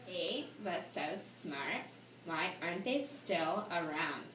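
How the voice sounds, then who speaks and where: Woman as a lowish-voiced skeptical puppet pupil, roomy. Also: lively intonation, takes it slowly, a bit bright. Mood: skeptical, curious. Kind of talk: amateur school puppet show. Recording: roomy, distant, faintly noisy amateur recording.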